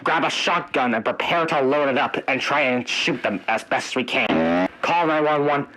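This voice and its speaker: Bright-voiced police officer, played by a man. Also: medium pitch, animated, moderate pace, slight background noise, low-quality recording. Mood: grave, official. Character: male police officer giving grave warnings, panicking under attack. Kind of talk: amateur analog-horror emergency broadcast acting.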